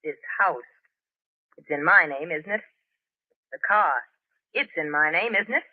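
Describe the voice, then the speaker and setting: An actress playing a brazen, scornful, drunken gold-digging wife in 1930s radio horror, slangy, taunting and melodramatic. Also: female voice, fairly low pitch, expressive, unhurried. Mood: cold, gloating. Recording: dull, band-limited 1930s radio recording.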